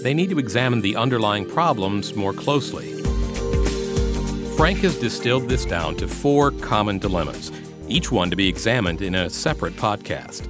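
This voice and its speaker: A man hosting a podcast, reading a smooth scripted introduction and asking interview questions. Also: fairly low pitch, expressive, moderate pace. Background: music.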